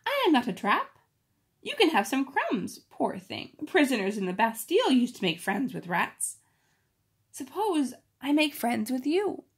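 A woman voicing a lonely, gentle young girl from a storybook, speaking coaxingly. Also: high voice, huge pitch swings, a little slow, slightly rough, voice pushed up, full character voice.

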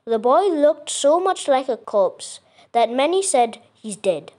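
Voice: sits high, big pitch swings, slow, darkish tone. A child reading scripture aloud from a script, carefully, with small stumbles and self-corrections. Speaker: reader.

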